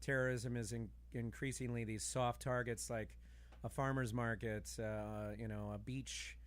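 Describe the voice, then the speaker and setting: Mid-pitched man, casual podcast guest. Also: level tone, unhurried.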